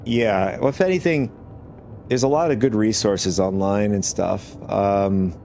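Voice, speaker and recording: A man with a raspy voice from a cold, chatting casually with viewers while gaming on a stream, rambling with many restarts. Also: fairly low pitch, a little slow, darkish tone, slight background noise.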